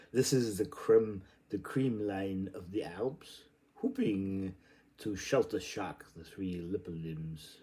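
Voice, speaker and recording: A man's voice: sits low, slow, mellow. A man reading literary prose aloud in a theatrical, playful and exclamatory way. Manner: playful, exclamatory delivery with sudden outbursts. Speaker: reader.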